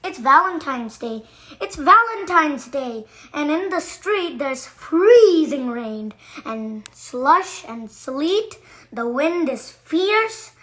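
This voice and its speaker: Slow, high-voiced woman reading to children. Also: sing-song, a bit bright. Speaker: children's book narrator. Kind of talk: read-aloud storytelling for kids.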